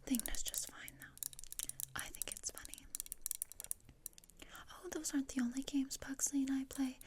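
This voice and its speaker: Woman acting gothic teen girl, breathy whisper, higher-pitched, flat delivery, morbid, bossy, condescending, ASMR roleplay, close mic. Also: normal speed, natural voice. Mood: morbid, deadpan. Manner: deadpan, dry, matter-of-fact. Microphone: close ASMR microphone. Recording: close-up with clear noise and clicks.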